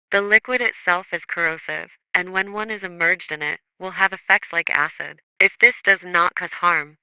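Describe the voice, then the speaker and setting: A grave official emergency announcer, played by a man, with a high, very bright and forceful voice. He reads a scripted broadcast alert in a formal, measured way. The delivery is crisp and authoritative. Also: no room sound, full character voice, rough recording.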